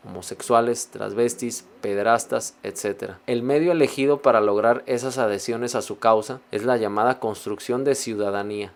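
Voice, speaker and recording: Man calmly reading and commenting. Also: mid-range voice. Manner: measured, reflective, reads passages then comments. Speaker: host of a reaction video.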